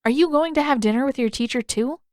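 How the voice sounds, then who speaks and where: A woman's voice: sits high, fast, darkish tone, dry recording, studio quality, pitched above the performer's own voice, natural voice. A woman voicing an eager, innocent young boy in an audiobook story, earnest and full of questions. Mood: curious, eager.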